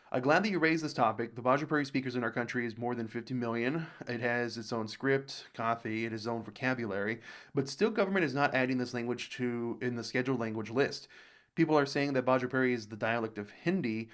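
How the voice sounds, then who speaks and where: A young American man talks casually in a mid-pitched voice, reading viewer comments aloud and mulling them over. He rambles, trails off and restarts his sentences as he works out his thoughts. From United States. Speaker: reaction video host.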